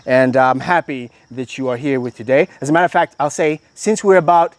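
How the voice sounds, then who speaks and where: A man from Miami with an American accent touched by Kenyan speech, an organic farmer being interviewed, talking reflectively with many pauses. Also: medium pitch, no echo. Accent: American from Miami, with some Kenyan influence. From Miami, Florida. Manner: reflective, speaks with frequent "uh" pauses and building metaphors. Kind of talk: casual interview. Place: raised platform outdoors on a rural farm.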